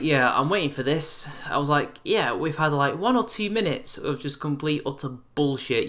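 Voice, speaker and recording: Sarcastic young man with a bright voice. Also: mid-range voice. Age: 20s. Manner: sarcastic, exasperated rants. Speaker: YouTube commentator. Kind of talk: response video commentary. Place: small bright room indoors.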